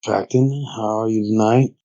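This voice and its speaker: A man's voice: on the low side, fairly even intonation, moderate pace, mellow, dry recording, close to an everyday voice. A man playing a laid-back, wry male smuggler in a fantasy tabletop game, speaking casually over slightly dull audio. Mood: businesslike.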